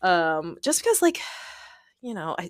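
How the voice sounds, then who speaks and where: Lower-pitched woman, casual rambling craft chat. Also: big pitch swings, unhurried, no echo, studio quality. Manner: self-deprecating, giggly, digressive. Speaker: hobby planner video creator.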